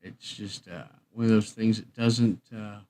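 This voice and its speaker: A man's voice: on the low side, steady tone, measured pace, a little mellow. A man hosting a live stream, chatting casually to viewers in a rambling, folksy way.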